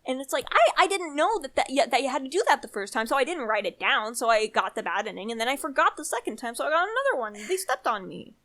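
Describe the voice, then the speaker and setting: A young woman with a fairly high voice co-hosts a casual chat. She tells stories with animated exasperation, stretching words for emphasis. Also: quick pace, hardly any pauses. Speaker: podcast co-host.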